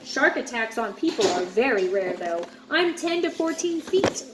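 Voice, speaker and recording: A woman voicing proud sharks who explain themselves in a children's storybook, in a measured manner. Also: a little high, sing-song, very noisy.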